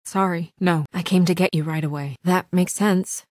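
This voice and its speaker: A composed, earnest young woman played by an actress in a story-driven video game. Her voice sits slightly low and is captured very dry. She speaks with guarded, dutiful care, halting a little as worry shows through. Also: fairly even intonation, darkish tone, no echo, natural voice. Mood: guarded, serious. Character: composed, earnest young female office administrator, anxious and dutiful. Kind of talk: naturalistic video game drama acting.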